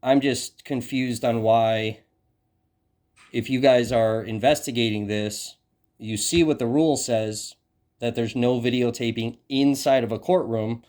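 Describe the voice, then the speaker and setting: American guy reporter doing a phone interview, medium pitch, stumbles over words but keeps pushing. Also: fairly even intonation, darkish tone. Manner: halting, hesitant phrasing with repeated words; persistent, challenging. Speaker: independent journalist. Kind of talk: questioning an official in a phone interview.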